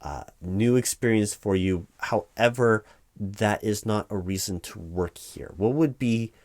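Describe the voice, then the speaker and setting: A man with a fairly low voice plays a flustered corporate recruiter in a comedic interview roleplay. He speaks officiously but stammers and rambles, evasive and easily distracted as he addresses an unheard candidate. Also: unhurried, a little mellow. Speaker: performer playing a job interviewer. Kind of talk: one-sided comedic ASMR interview roleplay.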